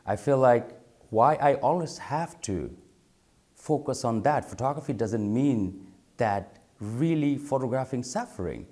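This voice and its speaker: A man's voice: medium pitch, animated, measured pace, warm tone. A man from Bangladesh, a photographer talking thoughtfully in an interview, often stopping to repeat words as he searches for them. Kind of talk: reflective interview talk.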